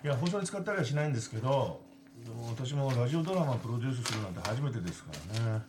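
A man plays an easygoing radio producer in a casual meeting. His pitch moves in a lively way, and there is clear noise in the recording. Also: rapid-fire, natural voice.

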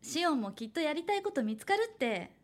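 A high-pitched young woman in a horror radio drama. She is a hopeful, cheerful would-be manga artist who likes to reassure, with a faint unease underneath. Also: very animated, fast talker. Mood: warm, friendly. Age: young adult. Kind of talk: Japanese horror radio drama acting with first-person narration.

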